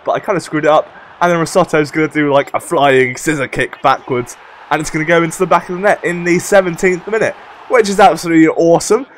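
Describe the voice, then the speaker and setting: A young man hosting casual game commentary, his voice croaky and rough from a sore throat, chatty and upbeat. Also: a little high. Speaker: gaming channel host.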